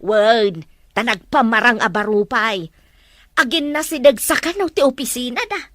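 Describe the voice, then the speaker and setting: A woman's voice: fairly high pitch, a little slow, fairly bright tone, dry recording, clean studio sound. A woman acting a scolding, disapproving mother in a drama. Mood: indignant, gossipy. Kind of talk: Ilocano-language drama dialogue.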